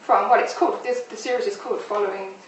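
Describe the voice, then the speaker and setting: A woman interviewing in a live discussion, putting her questions in a halting, tentative way. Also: medium pitch, steady tone. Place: echoey indoor space, live-streamed discussion. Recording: very echoey room, distant mic, faint noise.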